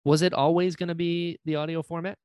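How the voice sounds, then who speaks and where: A man's voice: fairly high pitch, flat delivery, fairly quick, a little mellow, clear, resonant tone, no echo. A man hosting a podcast interview in a casual, agreeable way, often speaking in short, conversational responses.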